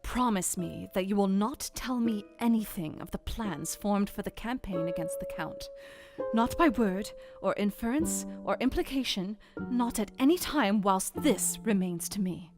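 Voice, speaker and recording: An actress playing an earnest, devoted young wife, pleading with solemn intensity in a radio play. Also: female voice, mid-range voice, lively intonation, unhurried, over music. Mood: solemn, insistent.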